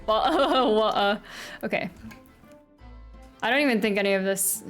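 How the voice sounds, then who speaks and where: A woman's voice: mid-range voice, normal speed. An American woman streaming live, chatting casually and giggly with her viewers between reading game lines. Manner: chatty, giggly, talks to chat. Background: faint pop music playlist.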